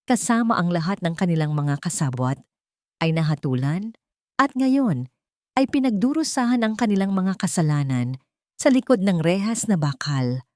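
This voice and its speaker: A woman reading a scripted love story as a narrator, in a dramatic, sentimental and expressive way. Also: low voice, no room sound, studio quality.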